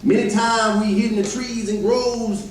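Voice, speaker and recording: A man's voice: very high voice, little pitch movement, unhurried. A man playing a formerly enslaved man who recounts escapes in folksy dialect, in a readers theater performance. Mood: animated recollection. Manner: folksy dialect storytelling with repeated "now". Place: church hall, recorded from the audience area. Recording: distant mic in echoey hall, background noise.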